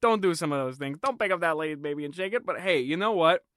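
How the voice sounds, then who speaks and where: A man's voice: a little high, lively intonation, quick pace, a bit bright, a little hiss or hum. A man playing a flustered, awkward restaurant boss in a comedy sketch, stammering, hedging and repeating himself. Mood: earnest, rambling. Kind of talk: improvised comedy sketch acting.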